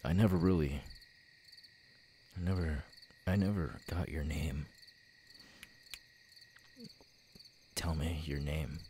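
A man acting a twitchy, unstable young man with tics in an ASMR roleplay, halting and stammering, menacing yet apologetic. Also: very deep voice. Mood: subdued, halting. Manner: halting, stammering, broken by sudden shouted outbursts. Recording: night crickets, steady background noise.

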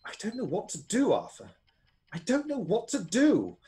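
An actor playing an earnest, despairing gentleman husband in a melodramatic radio play. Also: sits high, wildly swooping pitch, normal speed. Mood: anguished.

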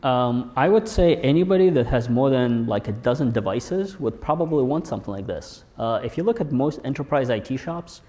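A man's voice: mid-range voice, lively intonation, moderate pace, hardly any pauses, mellow. A young man giving a casual, wry technical talk on a conference stage, speaking into a lapel mic with frequent fillers and restarts. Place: large conference hall on stage.

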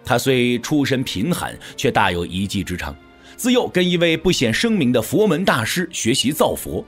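A male narrator tells a dramatic story as in an audio drama. His mid-pitched voice moves in lively, animated swings, with soft music underneath. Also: normal speed, breathy edge.

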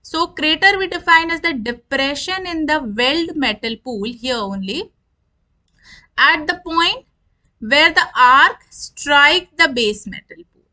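A woman giving an instructional lecture, explaining terms deliberately and repeating her definitions. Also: high-pitched, lively intonation, pushed and bright, low-quality recording.

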